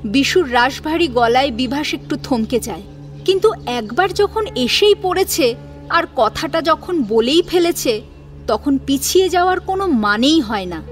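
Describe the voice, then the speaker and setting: A woman's voice: sits high, lively intonation, measured pace. A woman narrating a scripted story in a dramatic storytelling style. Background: background music.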